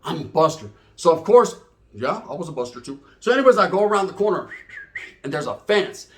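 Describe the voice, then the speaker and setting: A man's voice: on the high side, sing-song, brisk. A middle-aged man tells a comedic story informally, profane and boastful, wandering off and checking in with tag questions.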